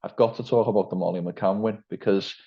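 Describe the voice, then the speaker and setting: A man's voice: lowish voice, fairly even intonation, fairly quick, dull audio. A man with a Liverpool accent interviewing a guest with long, hedging questions. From England. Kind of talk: interview over a call.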